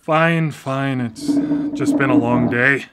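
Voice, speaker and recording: A man's voice: medium pitch, slow pace, heavy background noise, close to an everyday voice. An actor playing a hapless, amiable blind man, a younger brother who talks hesitantly, in a contemporary radio drama. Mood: tired, appreciative. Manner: hesitant, stammering.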